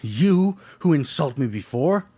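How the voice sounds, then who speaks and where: A man's voice: on the high side, very animated, measured pace, warm tone, husky, faint music behind, heavily acted. An actor playing a pompous, thin-skinned male painter with florid, haughty diction in a live radio play. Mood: wounded, indignant.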